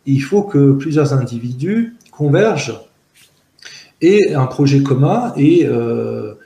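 A man in his forties from Algeria, whose first language is Arabic, gives a reflective interview in a middle-pitched voice. He speaks earnestly and analytically, stumbling through fillers and restarts as he searches for words. The sound is echoey and roomy. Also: lively intonation, slow pace, creaky, mellow. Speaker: interview guest. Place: outdoors in a park-like garden by buildings.

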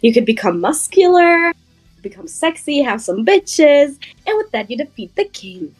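A bossy cartoon hedgehog sorceress voiced by a woman, high and very lively in pitch. She scolds and coaches bluntly in a casual fan-dub style, over faint music. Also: normal speed, no echo, rough recording. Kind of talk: improvised comedy fan dub.

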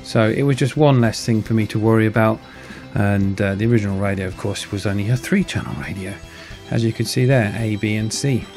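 A man with a fairly low voice gives a casual, understated how-to narration as a hobby maker. Plucked guitar music plays clearly behind him. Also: a little fast, hardly any pauses, a little mellow. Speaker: maker presenting his hobby build.

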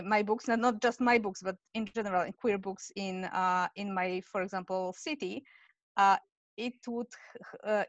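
A woman's voice: mid-range voice, no room sound. Hesitant woman. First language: Polish. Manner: hesitant, many fillers and restarts. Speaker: author panelist. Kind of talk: casual panel chat. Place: home room, video call. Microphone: headset. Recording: dull dry video-call audio.

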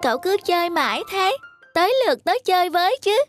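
A woman voicing an obedient little girl in a cartoon, with a soft, childlike delivery. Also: very high-pitched, expressive, brisk, faint music behind, voice pushed up. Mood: meek.

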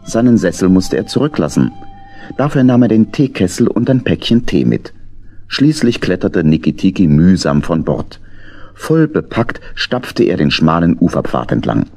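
Male narrator, very low voice, lively intonation, children's story. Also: moderate pace, soft background music. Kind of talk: scripted storytelling for a children's audio play.

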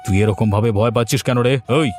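A man's voice: mid-range voice, quick pace, darkish tone, a little hiss or hum, close to an everyday voice. A man voicing a blustering, heavyset village gang boss in a cartoon fairy tale, with a melodramatic delivery. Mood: menacing bluster. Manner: threatening, melodramatic.

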